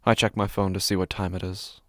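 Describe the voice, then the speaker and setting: A man playing a frightened, sleepless narrator of his own waking nightmare in a horror podcast, halting and intense, repeating his phrases. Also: deep voice, little pitch movement, a bit bright, no room sound, studio quality. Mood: dazed, uneasy. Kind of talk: horror fiction podcast, dramatic first-person monologue.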